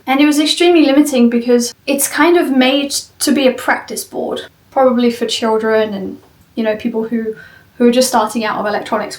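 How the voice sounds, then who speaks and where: A woman giving an informal walkthrough of something she built, rambling in a candid, self-deprecating way. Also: fairly high pitch. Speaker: maker presenting a cosplay prop she built. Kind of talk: informal video walkthrough and review of a build. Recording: roomy echo, far from the mic.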